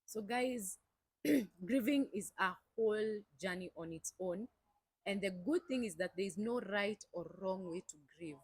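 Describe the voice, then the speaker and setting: A woman appearing as a guest on a channel, speaking in a calm, measured way. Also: medium pitch, breathy edge, no echo. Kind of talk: casual chat.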